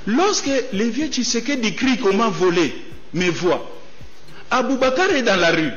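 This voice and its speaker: Animated man on a lo-fi clip. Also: high voice. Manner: animated, asks the crowd questions, says "hein?" often. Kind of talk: storytelling speech to an audience. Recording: dull lo-fi clip with faint music.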